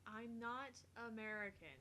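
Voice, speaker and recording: A woman's voice: on the high side, measured pace, sharp tone, slight background noise. A woman voicing a curt, aloof young female researcher in a visual novel, matter-of-fact and irritated. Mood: curt, dismissive.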